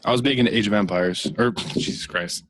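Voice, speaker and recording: A man's voice: lowish voice, a little fast, crowd noise. A man co-hosting a casual podcast, chatting with friends and swearing freely. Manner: laid-back, swears freely. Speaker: podcast co-host. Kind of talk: casual chat among friends.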